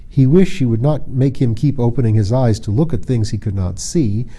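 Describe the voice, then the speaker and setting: A man narrates a storybook with expression. His voice is mid-pitched and very soft and dark in tone, and his delivery is measured and literary. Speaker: audiobook reader.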